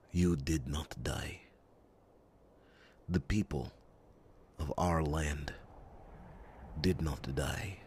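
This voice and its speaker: Man acting very deep, slow, solemn royal guard captain. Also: slight background noise. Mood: grave, sorrowful. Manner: solemn, reassuring, formal, halting with dramatic pauses. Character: loyal, earnest male captain of a royal guard. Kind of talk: ASMR fantasy audio roleplay.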